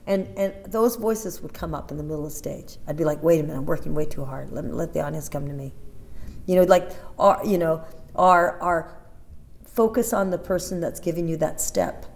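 A woman in her fifties, a dancer, chatting in an interview and telling animated stories with many restarts and asides. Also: low voice, brisk. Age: 50s. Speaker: interview guest, Broadway dancer. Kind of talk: casual interview chat.

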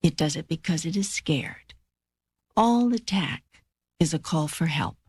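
Low-voiced, wry, wise God. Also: female voice, lively intonation, a little mellow, slightly rough, no echo, full character voice. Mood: firm, insistent. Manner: measured, assured, teasing, instructive. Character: God as a wry, wise, reassuring female spirit. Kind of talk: dramatised audiobook dialogue.

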